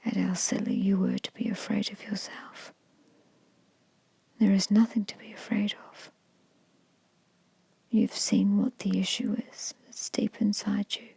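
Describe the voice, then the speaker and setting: A woman guides a meditation in an extremely breathy, hushed whisper with a creaky grain to it. She speaks slowly and soothingly, like an ASMR hypnosis storyteller. The sound is close to the microphone and very dry. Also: mid-range voice, no echo.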